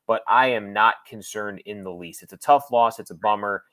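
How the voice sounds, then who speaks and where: A man's voice: fairly low pitch, moderate pace, fairly bright tone, no room sound. A man working as a sports broadcaster, giving conversational analysis as a guest, explaining and qualifying his points with emphasis.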